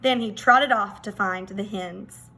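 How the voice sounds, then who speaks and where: A woman reading a children's storybook aloud like a teacher, expressive, with dramatic emphasis. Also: mid-range voice, slow pace.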